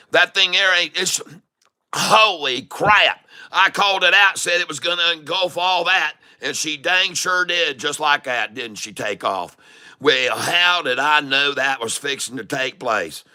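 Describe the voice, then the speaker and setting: A man with a very bright, sharp voice and a thick Southern US drawl. He plays up the folksy country-boy talk, boasting and cussing casually like a livestream host hyping up his followers. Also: on the high side. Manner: chuckles often, swears casually. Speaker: livestream stock trading host. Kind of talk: casual livestream chat, hype trading commentary.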